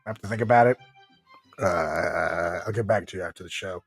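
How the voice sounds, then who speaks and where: A man's voice: sits low, brisk, vocal fry, slightly rough, faint music behind. A man hosting a podcast in casual, joking chat, often repeating his own phrases. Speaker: podcast host.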